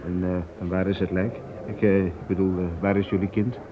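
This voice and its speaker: A man's voice: deep voice, little pitch movement, fairly quick, narrow, tinny audio, natural voice. A man in a radio play voicing a hesitant fish seller who stammers over his words. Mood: nervous. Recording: noisy old radio recording.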